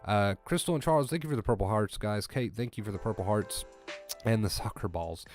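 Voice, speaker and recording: A man's voice: fairly low pitch, a little fast, darkish tone. Stuffy-voiced man hosting a livestream over music. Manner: reassuring, repeats key phrases, thanks viewers by name. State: stuffy nose, clears throat often. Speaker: livestream host coaching on anxiety. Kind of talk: casual livestream talk reading chat. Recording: music clearly audible behind.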